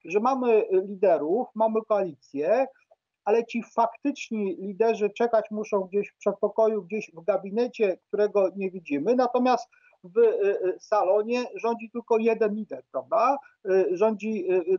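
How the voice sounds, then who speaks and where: Middle-aged male expert guest, notably high voice, halting delivery with repetitions, dull dry video-call sound. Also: mellow, no room sound. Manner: halting, repeats words, often adds a questioning tag. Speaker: guest expert, political science professor. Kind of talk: political commentary in a news interview. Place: book-lined room at home, indoors. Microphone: earphone headset on a video call.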